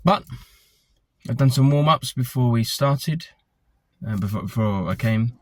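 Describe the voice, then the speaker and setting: A man, a singer-songwriter, chatting casually about his nerves and warm-ups before a vocal session, reassuring himself. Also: medium pitch, unhurried, no room sound. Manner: nervous but self-calming, talking himself down. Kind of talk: casual pre-session chat.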